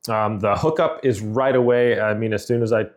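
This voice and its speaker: A man's voice: lowish voice, fairly even intonation, moderate pace. A middle-aged man giving an informal, rambling product review in a conversational way. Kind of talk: casual unboxing and review chat.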